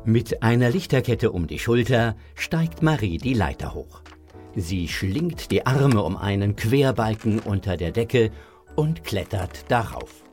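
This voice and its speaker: A man's voice: on the low side, lively intonation, a little slow, a little mellow, faint music behind. A man narrating a scripted audio drama for children.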